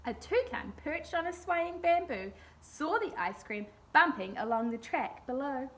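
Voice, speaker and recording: A woman's voice: high-pitched, lively intonation, measured pace, fairly bright tone. A woman reading a children's picture book aloud expressively.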